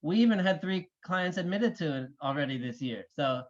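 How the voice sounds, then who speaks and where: A man's voice: on the high side, expressive, normal speed. Upbeat male host on a muffled call. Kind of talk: interview hosting.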